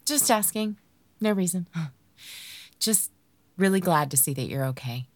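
A woman's voice: on the low side, lively intonation, unhurried, dry recording. An American woman acting in an audio drama as a brash, sassy, streetwise vampire with an outspoken, slangy manner. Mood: excited, relieved.

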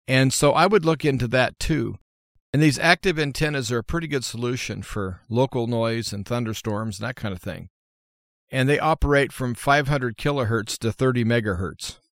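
A man's voice: mid-range voice, no room sound. Plainspoken American man, dry close sound. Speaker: radio show host. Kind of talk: informal how-to monologue.

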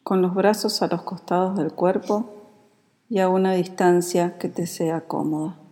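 A woman with a Rioplatense Spanish accent guides a meditation in a calm, soothing, unhurried manner. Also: lowish voice. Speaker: yoga nidra meditation guide. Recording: long reverberant hall-like echo.

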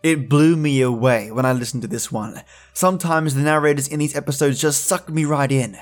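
A man's voice: medium pitch, steady tone, fairly quick, faint music behind. A man hosting a podcast, talking to his listeners in conversational commentary. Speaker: podcast host.

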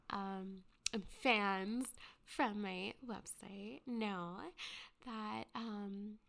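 A young woman with a stuffy cold, chatting softly and half-whispering as a ghost story podcaster, rambling with many fillers and a girly voice. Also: medium pitch, creaky. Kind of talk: soft whispered casual chat.

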